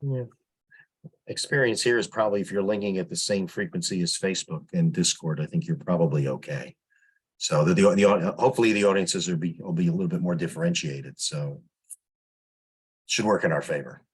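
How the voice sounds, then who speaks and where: Deep raspy guy chatting casually on an online meeting. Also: a little fast. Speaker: public relations volunteer. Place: online conference call.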